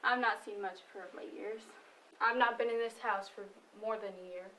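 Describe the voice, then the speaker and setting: A woman's voice: medium pitch, steady tone, fairly quick, bright, forceful tone, natural voice. A woman acting in a stage play as a blunt, defensive farm wife with a dry, folksy manner. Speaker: stage actor. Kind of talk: amateur stage play dialogue. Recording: distant microphone, noticeable room noise.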